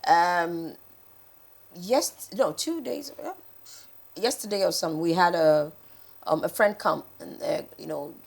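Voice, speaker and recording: Ghanaian lady hosting a morning show, lowish voice, chatty, flips between languages. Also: animated, a little slow, vocal fry, pauses often, husky. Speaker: morning TV host. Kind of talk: casual TV chat, code-switching.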